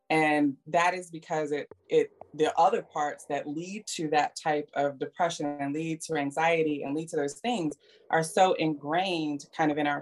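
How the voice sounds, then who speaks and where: Female interviewer with a low voice; earnest, thoughtful podcast conversation over faint music. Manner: reflective, earnest.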